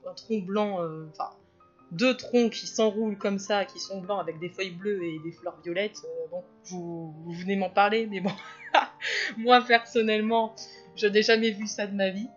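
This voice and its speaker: A chatty woman talks through her drawing in a loose, rambling way, often drifting into asides. Her voice sits at an ordinary middle pitch. The sound is a bit dull and lo-fi, with faint music underneath. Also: fairly even intonation, normal speed. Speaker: illustrator and video host. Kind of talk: casual drawing commentary.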